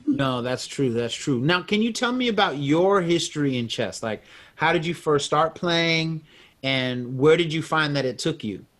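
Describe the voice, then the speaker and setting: A man's voice: a little high, normal speed. A man hosting a podcast interview, chatting casually and animatedly. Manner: animated, acts out quoted voices. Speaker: podcast host.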